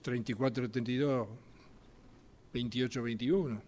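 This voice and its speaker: A man's voice: medium pitch, fairly even intonation, moderate pace, a little mellow. A man speaking expressively, as if conversing, in an improvised acting exercise. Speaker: radio drama workshop participant. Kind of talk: acting exercise, numbers as dialogue.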